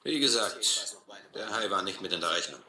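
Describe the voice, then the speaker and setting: An actor playing a brutal, cynical sea captain in a radio play, commanding and mocking. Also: male voice, low-pitched, expressive, normal speed, pushed and bright, exaggerated voice. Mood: cool, mocking. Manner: commanding, mocking, coldly philosophical. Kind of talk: German radio play acting. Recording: roomy, faintly noisy radio play recording.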